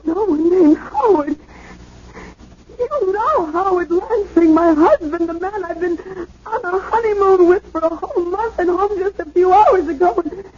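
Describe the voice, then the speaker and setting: An actress playing a hysterical, frightened young wife in a radio mystery, breathless, pleading and insistent. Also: female voice, very high voice, measured pace, a little mellow. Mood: desperate, insistent. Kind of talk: old-time radio mystery acting. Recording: dull, noisy 1970s radio drama recording.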